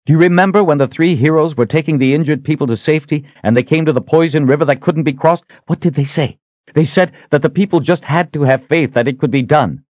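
A man's voice: fairly high pitch, quick pace, no room sound, highs cut off, pitched above the performer's own voice, close to an everyday voice. A man playing an urgent, determined hero of a fantasy audiobook, intense and insistent in manner. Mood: urgent, persuading.

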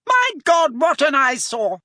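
Man voicing a high, haughty young lady. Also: huge pitch swings, unhurried, sharp tone, dry recording, studio quality, full character voice. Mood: appalled. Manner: snooty, exclaiming. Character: haughty, disdainful rich young woman.

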